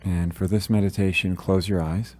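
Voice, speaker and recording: A man leading a guided meditation, giving gentle, calm instructions with long pauses between phrases. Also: sits low.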